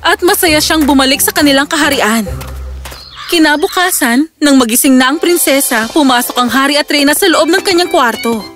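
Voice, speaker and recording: Woman, high voice, scripted children's story narration, faint music behind. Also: a little fast, fairly bright tone.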